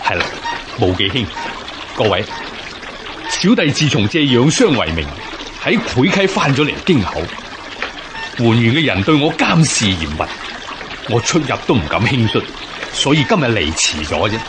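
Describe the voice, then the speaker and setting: Man acting resolute rebel general, animated delivery. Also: medium pitch, moderate pace, pauses often, noisy background, close to an everyday voice. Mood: earnest, apologetic. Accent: Hong Kong Cantonese. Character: resolute male rebel general of ancient China. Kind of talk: historical radio drama acting.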